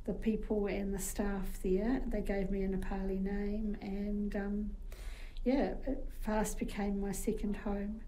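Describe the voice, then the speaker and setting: Mellow-voiced New Zealand woman telling stories. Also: medium pitch, flat delivery, a little slow, a little hiss or hum, low-quality recording. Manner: chatty, anecdotal, frequent fillers. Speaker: guest. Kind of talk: casual interview storytelling.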